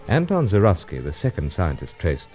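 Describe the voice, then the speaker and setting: Deep-voiced, dramatic British secret agent. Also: male voice, wildly swooping pitch, brisk, soft background music. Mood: dramatic, grave. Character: suave earnest British secret agent, male, narrating his own adventure. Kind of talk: old-time radio adventure serial acting. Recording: dull lo-fi old radio recording.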